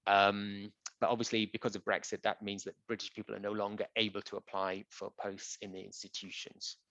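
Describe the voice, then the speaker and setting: A man who works as a translator, answering audience questions in a relaxed, conversational way with frequent ums and uhs. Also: on the low side, fairly quick, no room sound. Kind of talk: conversational Q&A presentation. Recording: dull, dry lo-fi audio.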